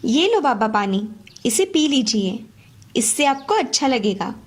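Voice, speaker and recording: A woman playing a kind, polite young village girl who speaks earnestly in an animated moral story. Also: on the high side, unhurried, slightly rough, exaggerated voice. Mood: caring.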